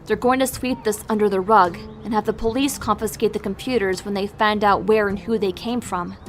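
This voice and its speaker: A woman's voice: mid-range voice, fairly even intonation, fairly quick, faint music behind, lightly acted. A woman playing a pragmatic, teasing teenage girl sidekick in an amateur superhero audio drama. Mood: brisk, teasing.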